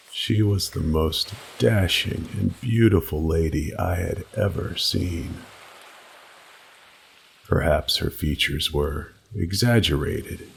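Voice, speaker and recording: A man narrating a creepy first-person story in a slow, measured, brooding and dramatic way. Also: low-pitched, creaky. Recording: faint background noise.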